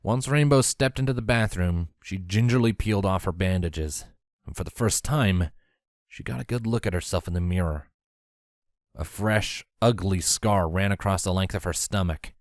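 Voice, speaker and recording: Low-pitched man narrating a story. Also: moderate pace, no echo, studio quality.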